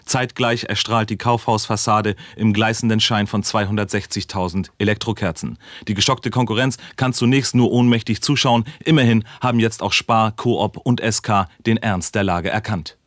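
An actor playing an earnest radio reporter in a mock news piece, deadpan, formal and precise. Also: male voice, on the low side, normal speed, hardly any pauses, no room sound, clean studio sound, natural voice. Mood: deadpan, grave. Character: earnest male radio reporter and chronicler, straight-faced, factual.

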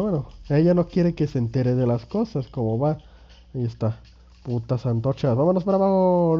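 A man's voice: a little high, highs cut off. A young man in his twenties with a Mexican accent, sick with a cold, rambling through casual gameplay commentary with crude slang and self-mocking jokes. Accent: Mexican Spanish. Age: 20s. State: sick with a cold and sore throat. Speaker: gaming youtuber.

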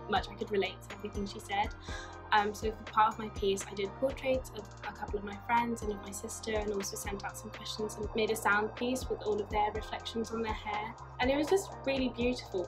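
A woman in her twenties from Norway, speaking softly and thoughtfully to a phone camera in a sunny room, with many "like"s and "you know"s. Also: medium pitch, rough recording. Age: 20s. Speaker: artist. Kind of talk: reflective personal testimony to camera. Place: bright sunlit room, seated. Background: background music.

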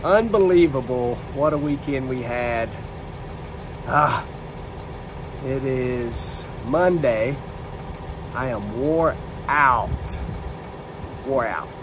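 A man, vlogging on his phone with a hoarse, worn-out voice from screaming, excitable and laughing. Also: medium pitch, very slow, pauses often, noisy background. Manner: excitable, laughing, lets out loud woos. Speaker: drag racing driver and vlogger. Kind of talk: casual vlog to camera. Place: inside a trailer or motorhome. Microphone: phone camera at arm's length.

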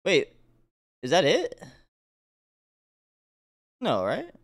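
A man's voice: a little high, huge pitch swings, drawn-out words. A man hosting a reaction video, commenting casually and enthusiastically in rambling, slangy speech. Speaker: reaction video host and streamer. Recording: game music playing behind the voice. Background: video game music.

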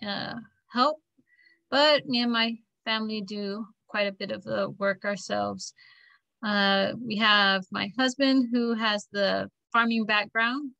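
A woman farmer in her thirties walks listeners through her work in a steady, plain-spoken way. Her voice is bright and clear, picked up dry and close by a laptop webcam on a video call. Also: fairly high pitch, dry recording. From Florida, US. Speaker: farmer and technical assistant.

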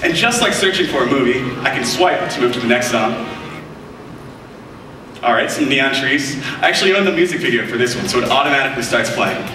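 A man's voice: medium pitch, lively intonation, brisk, over music. Young male presenter, upbeat scripted demo, heard from far off in a big echoing hall. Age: young adult. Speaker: product demonstrator. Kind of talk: scripted live product demo. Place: large keynote stage in front of a screen. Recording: echoey hall audio picked up from afar.

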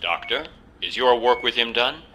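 Game villain: curt government operative, sharp, commanding delivery. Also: male voice, medium pitch, lively intonation, moderate pace, lo-fi sound, faint music behind. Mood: curt authority. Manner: clipped, commanding. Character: brusque, ruthless male government agent in command. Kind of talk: video game cutscene acting.

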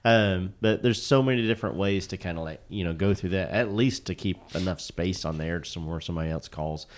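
A man around forty co-hosting a podcast in casual chat, with an easygoing, halting way of talking. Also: low voice. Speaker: podcast co-host. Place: small indoor podcast studio. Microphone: close podcast mic on stand.

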